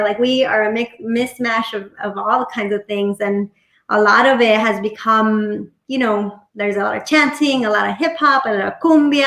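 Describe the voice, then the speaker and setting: A woman whose first language is Spanish, a musician guest chatting casually on a video call from home, relaxed and full of fillers. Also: a little high, echoey room. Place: home room. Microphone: laptop webcam mic on video call.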